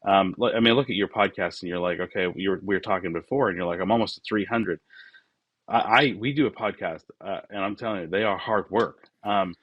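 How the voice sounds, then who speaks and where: A man of forty-nine talking casually and reflectively as an interview guest, often voicing his remembered thoughts in a halting way. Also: deep voice, no echo, highs cut off. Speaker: podcast guest, business founder.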